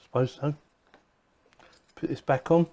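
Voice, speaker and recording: A man's voice: a little high, fairly even intonation, unhurried, warm tone. A man presenting a casual hands-on gadget unboxing, chatting informally and thinking aloud as he goes. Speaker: video presenter reviewing gadgets.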